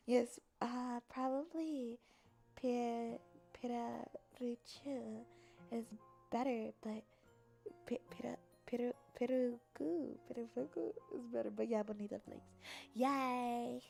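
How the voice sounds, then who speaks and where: Young female livestreamer, high voice, silly and expressive casual chat, music underneath. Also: slow, gravelly. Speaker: livestreamer chatting with viewers. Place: apartment.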